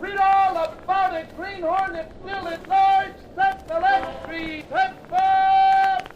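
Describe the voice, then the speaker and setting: A man's voice: very high voice, level tone, slow pace. A man in an old-time radio drama voicing a young newsboy hawking papers in a repetitive sing-song cry. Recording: muffled, noisy old radio with street din.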